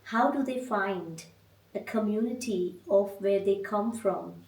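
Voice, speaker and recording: Woman from india hosting a podcast chat, calm reflective questions, sounds a bit far from the mic. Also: medium pitch, measured pace. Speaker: podcast host. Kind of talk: interview questions. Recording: echoey room, mic at a distance.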